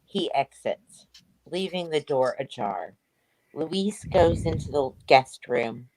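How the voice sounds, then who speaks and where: A woman's voice: low voice, lively intonation, takes it slowly, a little mellow, no echo, rough recording. A woman giving scripted narration, reading the stage directions of a play.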